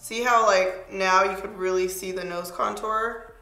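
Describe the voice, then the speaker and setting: Chatty young woman, a little hoarse. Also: medium pitch, steady tone, unhurried, pushed and bright, roomy sound, soft background music, poor audio. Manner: chatty, playful, talks while applying makeup. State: slightly hoarse, squeaky voice. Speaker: beauty vlogger. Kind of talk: casual makeup tutorial chat. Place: home studio, pink backdrop.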